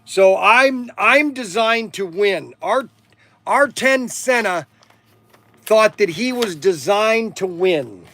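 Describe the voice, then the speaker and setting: Slow-talking man in his sixties, higher-pitched. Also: animated, fairly bright tone. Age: 60s. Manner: halting, repeats himself, stumbles over words. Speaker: livestream host selling hobby toys and model cars. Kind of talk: rambling casual livestream chat.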